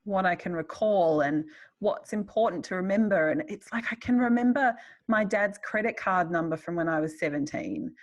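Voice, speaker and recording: A woman's voice: on the low side, normal speed. A middle-aged woman with an Australian accent chatting casually and candidly in a wry, self-deprecating way. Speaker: writer in conversation.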